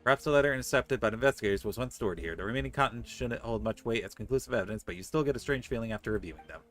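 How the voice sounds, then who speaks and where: Fast-talking man streaming a video game. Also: mid-range voice. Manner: joking asides between read passages. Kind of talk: reading game text aloud with casual commentary. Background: soft game music.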